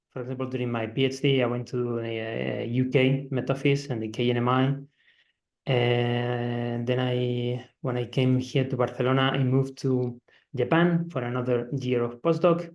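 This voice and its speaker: A man in his forties with a Spanish accent, introducing himself to a webinar panel. His delivery is halting and full of restarts, at a middling pitch, and the video-call sound is a little dull. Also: a little mellow. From Spain. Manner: hesitant, many restarts and fillers. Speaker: climate scientist, panelist.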